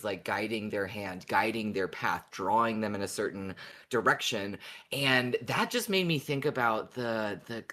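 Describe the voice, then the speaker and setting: A woman's voice: very deep voice, a bit bright. A woman co-hosting a casual fan podcast, talking in a teasing, amused way. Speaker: podcast co-host.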